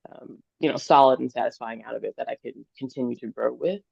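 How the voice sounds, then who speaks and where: Gravelly-voiced young woman, earnest singer. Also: a bass voice, quick pace, a little mellow, no room sound. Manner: earnest, enthusiastic, thinks aloud. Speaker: singer-songwriter guest. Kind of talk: casual podcast interview. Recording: dull lo-fi dry sound.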